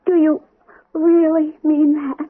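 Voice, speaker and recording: An actress playing a jealous, fearful wife in a 1970s radio drama. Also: female voice, high voice, flat delivery, slow pace. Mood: anxious. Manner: clingy, anxious. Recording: tinny old radio broadcast.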